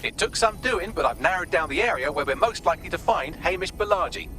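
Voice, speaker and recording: A man's voice: sits high, lively intonation, fast, bright, forceful tone, slight background noise. A man playing a witty, sardonic AI assistant in a video game, with a dry, articulate, sarcastic manner. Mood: dry teasing. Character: witty, sardonic male AI assistant briefing resistance operatives. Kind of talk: video game mission briefing.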